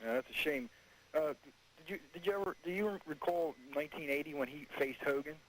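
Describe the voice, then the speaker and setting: A man's voice: a little high, brisk, rough recording. A man chatting casually as a caller on an old radio phone-in.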